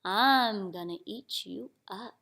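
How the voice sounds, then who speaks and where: A woman voicing a boastful, menacing male tiger in a children's storybook, with a slow, drawn-out growl. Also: medium pitch, vocal fry, bright, forceful tone, heavily acted.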